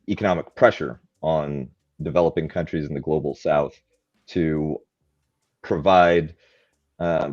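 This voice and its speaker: Very deep, halting man on a muffled mic. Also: a little mellow, no room sound. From Canada. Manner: halting, many ums and restarts. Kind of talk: podcast conversation.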